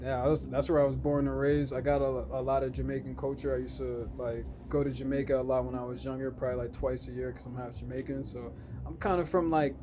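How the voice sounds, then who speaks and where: A man's voice: mid-range voice, fast talker, background noise. A young man from Massachusetts, a rapper guest chatting casually on a podcast and filling his talk with "like". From Springfield, Massachusetts. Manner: relaxed, laid-back, many filler "like"s.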